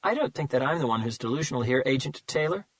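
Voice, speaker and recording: A man's voice: medium pitch, animated, no echo, natural voice. A man voicing a smug male serial killer who mocks and savors his words, in an audiobook reading. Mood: smug, taunting. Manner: mocking, slow and savoring.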